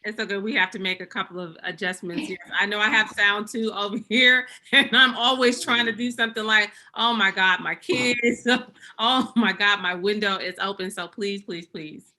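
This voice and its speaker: A woman hosts her podcast with a bright, sharp tone. She is effusive and chatty, laughing often. Her pitch sits in the middle range. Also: moderate pace. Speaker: podcast host. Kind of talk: casual interview chat.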